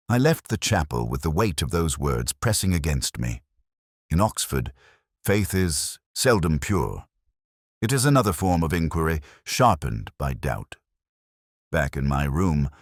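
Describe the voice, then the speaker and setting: A man with an extremely low voice narrates a literary detective tale. His delivery is measured and brooding, yet the pitch moves in lively swings. The recording is dry, with no room sound. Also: slightly rough, no room sound. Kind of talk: scripted first-person literary narration.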